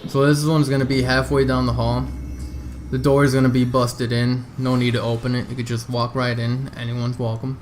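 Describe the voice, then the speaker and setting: Man, mid-pitched voice, casual walkthrough commentary, easygoing explaining, some background noise and game ambience. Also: little pitch movement, normal speed. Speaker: video game walkthrough narrator.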